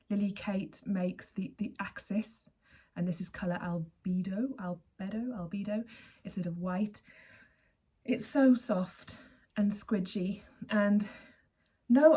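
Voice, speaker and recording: A woman in her forties with a hoarse voice, hosting her knitting podcast in a chatty, rambling way and often correcting herself mid-sentence. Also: medium pitch, mellow. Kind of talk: casual chat to camera.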